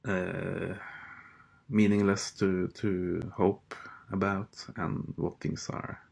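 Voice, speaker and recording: A man whose first language is Norwegian talks to his viewers in an unhurried, drawn-out way, often stopping on fillers and starting his sentences over. His voice sits somewhat low and has a gravelly, creaky grain. He speaks alone, straight to his audience. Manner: slow, hesitant, many fillers and restarts. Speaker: vlogger. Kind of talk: casual monologue rant.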